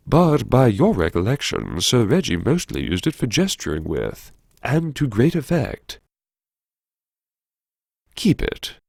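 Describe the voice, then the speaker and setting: Man acting a droll scholarly schemer, audiobook inner monologue, self-mocking, animated intonation, close dry sound. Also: on the low side, vocal fry, slightly rough, dry recording, heavily acted. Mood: wry musing, then wry, self-questioning. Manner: droll, plummy old-fashioned phrasing, musing. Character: wry, erudite, self-mocking new university head talking to himself.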